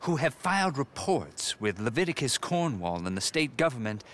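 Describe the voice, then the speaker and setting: Fussy hesitant gentleman. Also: medium pitch, big pitch swings, normal speed, slight background noise. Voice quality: hesitant, genteel. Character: nervous, polite male activist, fussy and hesitant.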